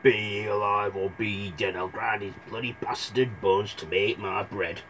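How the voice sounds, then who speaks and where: Deep grumbling giant in a comic storybook, crude and menacing, as a man puts him on. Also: steady tone, unhurried, a bit bright, slight background noise. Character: huge grumpy crude male giant.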